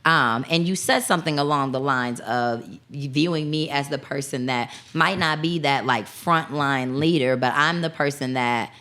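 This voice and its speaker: A young woman with a low voice hosts a loose, casual conversation. She is animated and restarts her sentences often as she gets her point across. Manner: animated, talks in quick restarts. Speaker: podcast host. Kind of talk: casual chat.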